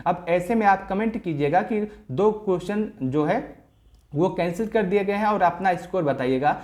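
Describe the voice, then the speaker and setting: A man's voice: high-pitched, a little mellow. A man giving an informal explanatory lesson as a coaching presenter, going step by step and repeating his phrases.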